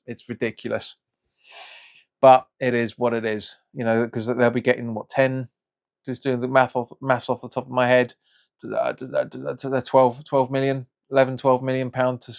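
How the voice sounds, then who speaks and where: A man hosting a football fan channel, talking in a casual, frustrated, rambling way with many restarts, his voice slightly raspy and hoarse from hay fever. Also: mid-range voice. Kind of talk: casual reaction vlog.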